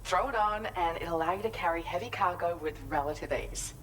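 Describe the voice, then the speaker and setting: A woman's voice: lowish voice, a little fast, a bit bright, slight background noise, lightly acted. An actress playing a kind, composed woman prospector and inventor in a video game, speaking politely and articulately in an explanatory way. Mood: friendly, explanatory. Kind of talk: scripted video game cutscene dialogue.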